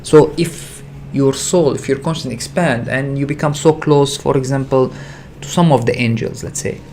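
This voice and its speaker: A man's voice: mid-range voice, normal speed, darkish tone, slight background noise. Earnest man hosting a spiritual livestream. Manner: earnest, repetitive, searching phrasing with frequent hesitations. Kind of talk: unscripted spiritual teaching talk.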